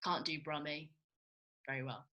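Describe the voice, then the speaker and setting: A woman's voice: deep voice, steady tone, moderate pace, crowd noise. A woman, an actor chatting casually at a rehearsal.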